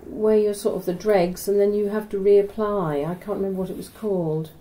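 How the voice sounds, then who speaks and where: A woman's voice: mid-range voice, steady tone, brisk, dark tone, rough recording. An older woman with a Norwich accent, a retired university secretary recalling her working years in a chatty, reminiscing way. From Norwich, England. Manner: chatty, reminiscing, trailing off into asides. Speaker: interviewee, former university secretary. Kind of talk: oral history interview.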